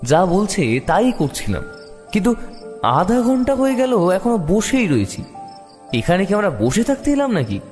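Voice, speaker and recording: A man narrating a detective story in the first person with expressive, suspenseful dramatic delivery. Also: high voice, moderate pace. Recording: long reverb, music behind the voice.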